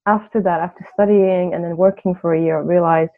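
A woman's voice: lowish voice, fairly even intonation, fairly quick. Woman on a tinny line. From Turkey. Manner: reflective, many "you know" fillers. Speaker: guest, transformational coach. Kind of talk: podcast interview. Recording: tinny phone-line audio.

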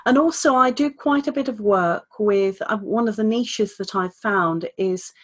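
Podcast guest: woman speaking trainer, measured and articulate, mid-range voice. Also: mid-range voice, husky. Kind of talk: conversational interview.

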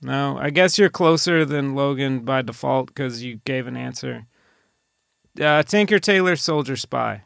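A man's voice: medium pitch, a little slow, vocal fry, fairly bright tone, no echo, clean studio sound. A man hosting a casual podcast, bantering in a rambling, dryly joking way. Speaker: podcast host.

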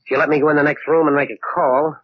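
Cool bluffing american detective, old 40s radio drama, voice a bit high, muffled recording with some hiss. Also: male voice, level tone, rapid-fire, breathy edge. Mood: placating. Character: shrewd male amateur sleuth, cool and bluffing. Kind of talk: 1940s radio crime drama acting. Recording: dull, lightly noisy old radio recording.

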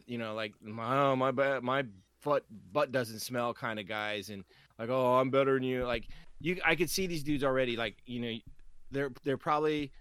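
A man's voice: medium pitch, a bit bright, no echo. A man co-hosting a podcast, ranting casually with lots of swearing and mocking quoted voices. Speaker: podcast co-host.

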